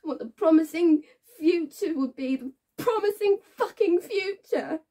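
A woman acting a dramatic monologue as a bitter, wounded young woman, halting and repeating her phrases, with a breathy, husky voice. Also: fairly high pitch, full character voice. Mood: anguished. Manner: halting, repeats phrases, swings between hushed and intense.

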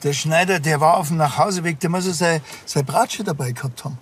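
An actor playing a dry, stubborn small-town police inspector with a Bavarian accent and a curt, offhand way of talking. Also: male voice, fairly high pitch, brisk, husky. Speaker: lead actor. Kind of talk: audio version of a TV crime comedy, natural dialogue.

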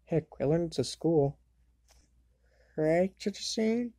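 A woman's voice: sits low, expressive, measured pace, dark tone, no room sound, clean studio sound. A woman voicing a bratty, hot-tempered young boy wizard in an amateur cartoon fandub, sneering and exasperated. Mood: earnest.

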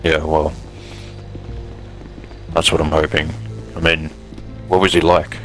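A man's voice: a bass voice, level tone, slow, fairly bright tone, faint music behind, full character voice, low-quality recording. A man playing a bitter, grieving apocalypse survivor, blunt and downcast, in an improvised game roleplay. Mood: apologetic, worried. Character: bitter, grieving young male apocalypse survivor seeking his brother.

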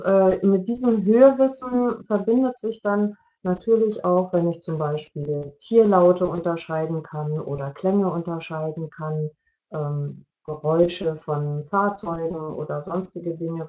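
Mellow, lowish-voiced woman explaining. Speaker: media coach, invited expert. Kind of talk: explanatory expert talk. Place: indoors on a video call. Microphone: video call. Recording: dull, muffled video-call sound.

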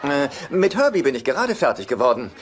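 A man's voice: mid-range voice, sing-song, fairly quick, natural voice. An actor playing a naive, earnest, socially awkward man in his forties in a German-dubbed sitcom. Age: 40s. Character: childish, awkward, lonely forty-year-old chiropractor brother. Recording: noisy TV soundtrack rip.

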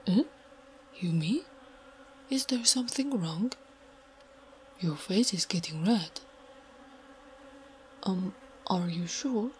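A woman voicing a big, strong but gentle and naive orc warrior woman in an ASMR roleplay, halting and soft-spoken, half-whispering in simple broken speech. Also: fairly low pitch, animated, slight background noise. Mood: concerned.

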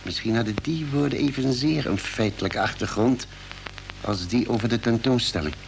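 An actor playing a cautious, calm ship's doctor in an old Dutch radio drama, speaking in a hesitant, thoughtful way. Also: male voice, mid-range voice, normal speed, gravelly, music in the background, natural voice. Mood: pensive. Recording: noisy vintage radio play recording.

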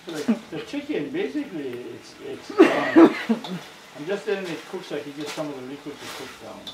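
Easygoing man cooking over loud frying. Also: fairly high pitch, sing-song, brisk, very noisy. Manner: easygoing, explains step by step with fillers. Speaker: home cook demonstrating. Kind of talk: casual cooking demonstration. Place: home kitchen at the stove, indoors. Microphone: camera held by someone else a few feet away. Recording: roomy kitchen, loud frying behind.

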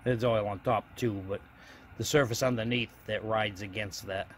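A man's voice: fairly low pitch, steady tone, normal speed. Man narrating a hands-on project, noisy recording. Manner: plain-spoken, explains step by step. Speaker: DIY hobbyist video host.

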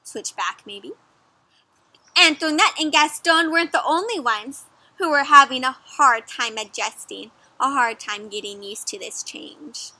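High, bright-voiced young woman reading to kids. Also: measured pace, no echo, low-quality recording. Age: young adult. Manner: animated teacherly questioning, addressing children as friends. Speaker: children's story reader. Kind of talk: interactive picture-book read-aloud for kids. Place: outdoors in a garden or yard.

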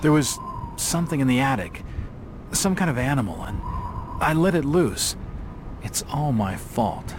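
Casting: guilt-ridden game investigator, earnest and urgent, wide-ranging delivery. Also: male voice, mid-range voice, sing-song, unhurried, long pauses, background noise. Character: troubled, guilt-ridden male investigator, an outsider in town. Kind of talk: video game cutscene acting.

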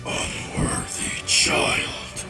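A man voicing a huge, arrogant robotic dragon villain in a comic dub, with a gravelly, guttural voice. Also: drawn-out words, exaggerated voice. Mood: furious. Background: loud music.

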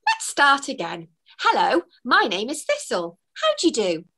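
A friendly young boy elf, cheerful and reassuring, with a lifted, bouncy voice, as a woman reads him aloud in a storybook. The tone is bright and the pitch rides up and down lightly. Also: wildly swooping pitch, normal speed, full character voice.

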